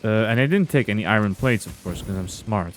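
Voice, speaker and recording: A young man with a fairly low voice talks through a game stream at an easy pace. He chats loosely with his viewers as he plays. A faint hiss sits under his desk mic. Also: a little fast. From Belgium. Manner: relaxed, chatty, reading and answering chat. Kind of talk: casual gameplay commentary with chat.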